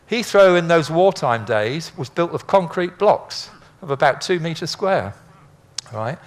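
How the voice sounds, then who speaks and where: An older man giving an informal illustrated lecture in a chatty, rambling, anecdotal way with dry humour. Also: medium pitch. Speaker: speaker, former air traffic controller.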